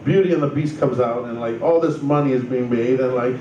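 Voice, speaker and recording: A man's voice: medium pitch, fairly quick, mellow, muffled recording. A man in his thirties, from Samoa, casually telling his life story in a loose, rambling way full of "you know" and "like". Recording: roomy distant mic with noise.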